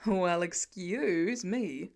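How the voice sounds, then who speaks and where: A woman's voice: lowish voice, very slow, darkish tone, pitched below the performer's own voice. A woman voicing a sarcastic, protective father with a dry, teasing delivery in an audiobook story. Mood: sardonic teasing.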